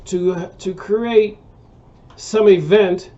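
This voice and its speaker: A man's voice: high voice, fairly even intonation, extremely slow, dark tone, muffled recording. An American man in his sixties, hosting a book review channel, talks in a casual, rambling monologue full of fillers and restarts. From United States. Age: 60s.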